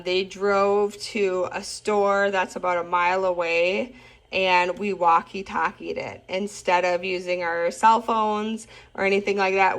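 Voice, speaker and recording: A woman's voice: medium pitch, fairly bright tone. A woman talking informally and enthusiastically in an unscripted, chatty product review and demonstration.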